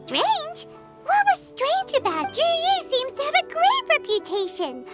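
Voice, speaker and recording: An actress voicing a tiny, squeaky, childlike girl companion in a video game, blunt, naive and very expressive. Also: measured pace, loud music behind the voice, exaggerated voice. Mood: puzzled.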